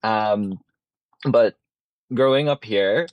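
A man's voice: on the low side, very slow, dry recording, highs cut off. A young man introducing himself earnestly as a guest on a radio interview.

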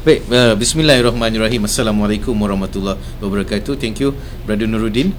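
A man's voice: on the low side, very fast, a little hiss or hum. A man from Malaysia, a professor, introducing himself fluently on a radio program and checking in with the listener. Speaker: guest, professor.

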